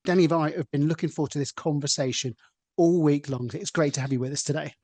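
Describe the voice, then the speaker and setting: Measured man hosting a podcast. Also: fairly high pitch, steady tone. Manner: measured, deliberate reading of a guest introduction. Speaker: podcast host. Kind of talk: scripted podcast introduction.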